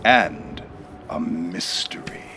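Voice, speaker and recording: A slow, theatrical, ominous storyteller. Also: male voice, low-pitched, animated, vocal fry, whispered, full character voice. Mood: solemn, ominous. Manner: dramatic, deliberate. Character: grandiose, ominous male storyteller host of satirical sci-fi serial. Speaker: narrator. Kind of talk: theatrical scripted narration.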